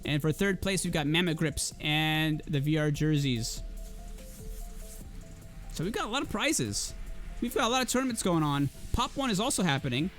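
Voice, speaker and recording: A man in his thirties hosts a gaming league stream with a noticeably hoarse voice. He talks casually to chat in a fairly high register, and soft music plays faintly behind him. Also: lively intonation, moderate pace. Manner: casual, chatty, addresses chat. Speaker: esports league caster. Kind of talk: livestream caster filling time.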